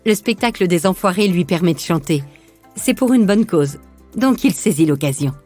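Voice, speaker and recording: A woman's voice: on the low side, animated, normal speed, a little mellow, soft background music. A woman narrating a scripted biographical podcast.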